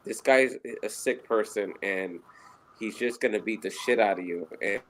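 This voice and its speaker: Man, Puerto Rican accent, podcast co-host, rambling, profane, bright forceful voice. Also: on the low side, moderate pace, poor audio. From Puerto Rico. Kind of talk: casual podcast chat.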